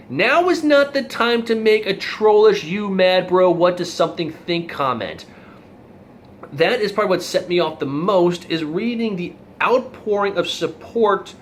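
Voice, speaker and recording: A man's voice: high voice, fairly bright tone, slight background noise. A young man ranting in a blunt, rambling monologue about online reactions, exasperated and plain-spoken. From Florida. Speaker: vlog host.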